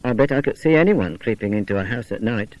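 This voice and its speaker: A man's voice: lowish voice, expressive, fast talker, darkish tone, natural voice, rough recording. A man voicing a casual, wary young stable boy in a children's story, speaking matter-of-factly. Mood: surprised, keen.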